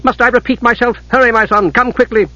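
Man voicing an imperious, high-pitched warrior mother. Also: normal speed, a bit bright, no echo, lo-fi sound. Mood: impatient. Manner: sneering, commanding, emphatic. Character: cold, imperious warrior mother, scornful and domineering ghostly knight.

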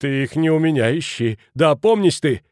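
A man's voice: on the low side, normal speed, a little mellow, dry recording, clean studio sound, close to an everyday voice. A man voicing a nervous, indignant man who protests in an agitated way in an audiobook. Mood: alarmed.

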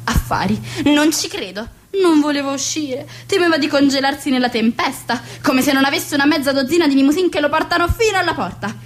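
A woman's voice: high voice, fairly quick, few pauses, natural voice. An actress playing a bossy, exasperated society mother and hostess in a theatrical radio comedy, with a haughty, melodramatic manner. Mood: outraged.

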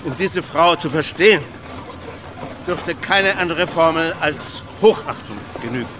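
An actor as grave, brooding country pastor. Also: male voice, on the high side, measured pace, noisy background, natural voice. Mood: solemn. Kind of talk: radio drama acting.

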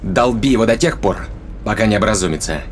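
An actor playing a ruthless, commanding spaceship owner in an audio drama, curt and menacing in manner. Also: male voice, lowish voice, expressive, brisk, slight background noise, close to an everyday voice. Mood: cold, threatening.

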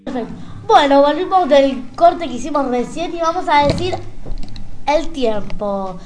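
A woman's voice: high-pitched, expressive, unhurried, darkish tone. A woman with an Argentine accent hosting a radio show in a casual, chatty style.

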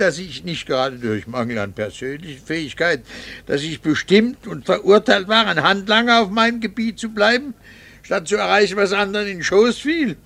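An actor playing an embittered, coldly vengeful middle-aged husband in a radio play, sarcastic, theatrical and precisely articulated. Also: male voice, sits high, vocal fry, a bit bright, no echo, full character voice. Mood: bitter, accusing. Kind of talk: German radio play acting.

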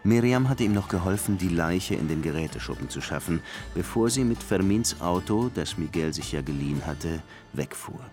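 Deep-voiced somber detective narrator. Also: male voice, expressive, moderate pace, few pauses, darkish tone. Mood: matter-of-fact. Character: male police detective recounting a murder case, somber. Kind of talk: first-person crime radio drama narration. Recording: music under the voice.

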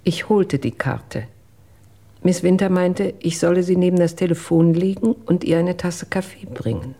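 Husky, low-toned woman giving careful testimony. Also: mellow. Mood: measured recollection. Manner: measured, careful recounting. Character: reserved, dutiful female household employee giving testimony. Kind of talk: German radio drama acting.

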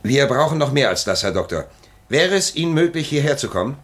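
An actor playing an earnest, frustrated public prosecutor in a German historical crime radio drama, speaking formally and insistently. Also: male voice, mid-range voice, big pitch swings, fairly quick, a bit bright, breathy edge. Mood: businesslike.

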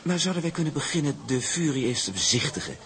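A man playing a smug young shipping heir in a radio drama, speaking with formal, oily politeness and measured hesitations. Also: a little high, expressive, a bit bright. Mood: coolly persuasive. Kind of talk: Dutch radio drama acting.

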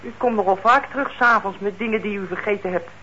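An actor playing a defiant, wounded young ex-convict, blunt, bitter and slangy, in a Dutch radio play. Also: male voice, high voice, fast talker, bright, forceful tone. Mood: guarded. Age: young adult. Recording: dull old radio recording, faint noise.

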